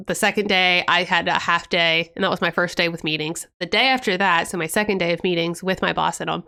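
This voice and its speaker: A woman's voice: lowish voice, fairly even intonation, quick pace, vocal fry, bright, forceful tone, smooth. An American woman co-hosting a podcast, chatting casually in a rambling way and sounding a bit congested from a cold. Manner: chatty, rambling, laughs often. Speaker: podcast co-host. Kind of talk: casual chat.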